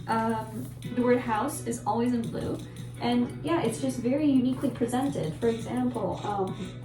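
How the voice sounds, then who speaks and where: A woman's voice: a little high, moderate pace. Rambling Polish-native woman, echoey and distant. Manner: rambling, self-correcting, awkwardly self-deprecating. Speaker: book vlogger. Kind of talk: casual book talk to camera. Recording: echoey room with mic at a distance and music behind.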